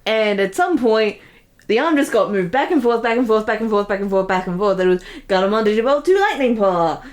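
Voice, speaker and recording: A woman giving casual creator commentary in a conversational, mid-pitched voice. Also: expressive, brisk. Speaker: commentator, voice actor in the series.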